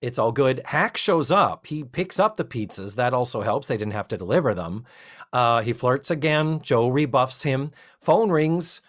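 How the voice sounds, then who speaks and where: A man's voice: mid-range voice, moderate pace. A man hosting a podcast, recapping an episode in casual chat and playfully acting out the characters' lines. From lives in Central Florida. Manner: animated, acts out lines in raised mock voices. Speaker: podcast host.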